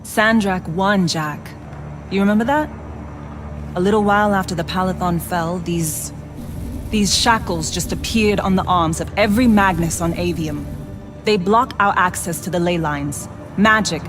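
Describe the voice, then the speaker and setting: A woman playing a loyal fugitive mage in a video game, steady and matter-of-fact. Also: lowish voice, moderate pace, close to an everyday voice. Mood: grim, explaining. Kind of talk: cinematic video game acting. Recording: music behind the voice.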